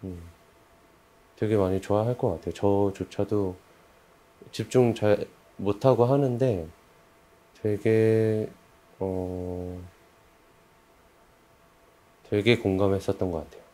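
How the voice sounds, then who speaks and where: Man, low-pitched, unhurried pace, halting phrasing, casual reflective discussion. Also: steady tone, warm tone. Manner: halting, unsure where to begin. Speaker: member of a creative production team.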